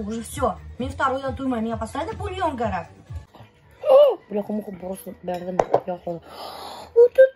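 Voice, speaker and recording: Chatty high-voiced Bashkir woman vlogger. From Bashkortostan, Russia. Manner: animated, chatty, exclamatory. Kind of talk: casual chat mixing two languages.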